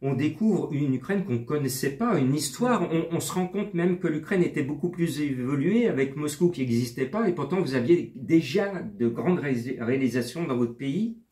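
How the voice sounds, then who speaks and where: A man interviewing guests, asking lively, leading questions. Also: fairly high pitch, normal speed, hardly any pauses, echoey room.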